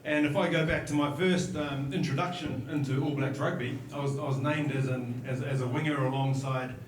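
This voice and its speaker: A man in his forties with a New Zealand accent, a podcast guest recalling his career in a measured way, with frequent pauses and fillers. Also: mid-range voice, steady tone, roomy sound, recorded from a distance, slight background noise, poor audio. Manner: reflective, measured storytelling with many fillers. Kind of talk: podcast interview.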